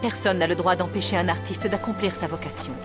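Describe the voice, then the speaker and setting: An actress playing an exacting but kindly ballet teacher in a cartoon, speaking in a formal, precise, theatrical way. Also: female voice, lowish voice, fairly even intonation, fast talker, loud music behind the voice, close to an everyday voice. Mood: gentle, encouraging. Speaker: voice actor. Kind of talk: French animated series dubbing.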